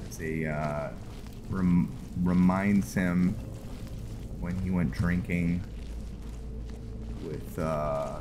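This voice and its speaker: Man, very low pitch, slow pace, halting low-key muttering, casual gameplay commentary. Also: background noise. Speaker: gaming video host. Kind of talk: casual gameplay commentary with light roleplay narration. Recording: music behind the voice.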